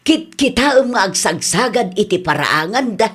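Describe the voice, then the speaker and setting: An exasperated middle-aged mother, played by a woman in an Ilocano radio comedy. She nags and cautions in a husky, medium-pitched voice with an Ilocano Filipino accent. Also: sing-song, moderate pace. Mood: wary.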